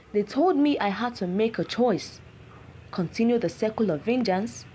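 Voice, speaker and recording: Lady doing a cursed village guy from a folktale, grave n anguished, slow, pitch swinging, bit of noise behind. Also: warm tone. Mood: anguished, pleading. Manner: grave, dramatic, pleading. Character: anguished cursed village man, sorrowful, vengeful, resigned.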